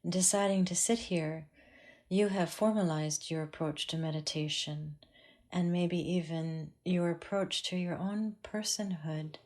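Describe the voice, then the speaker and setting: A woman teaching a guided meditation in a calm, measured way with long pauses. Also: on the low side.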